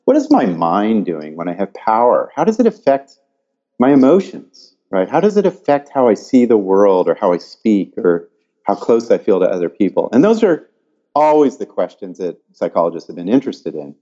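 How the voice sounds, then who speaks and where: An older man who grew up in California, a psychology professor answering interview questions in an animated, thoughtful way, often restarting his sentences. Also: fairly low pitch, normal speed, dull audio.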